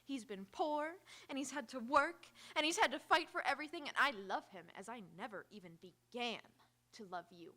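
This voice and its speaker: A woman playing a proud, sharp-tongued young society bride in live radio theatre, with an imperious, teasing, emphatic manner. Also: sits high, lively intonation, brisk. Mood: indignant. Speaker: stage radio actor. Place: live on an auditorium stage.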